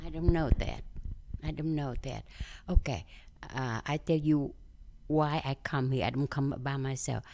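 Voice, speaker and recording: An older woman from Vietnam with a Vietnamese accent, telling her story in an interview in simple, halting English with many fillers. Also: rumbling low, darkish tone. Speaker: interview guest. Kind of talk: conversational interview.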